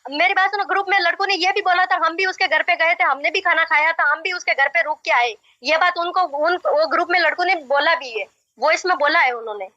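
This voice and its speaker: A woman's voice: very high-pitched, a little fast, hardly any pauses, sharp tone, dry recording. A young woman arguing insistently and repeating herself on a recorded phone call. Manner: insistent, repetitive, argumentative. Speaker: caller in a marriage dispute. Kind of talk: heated phone conversation.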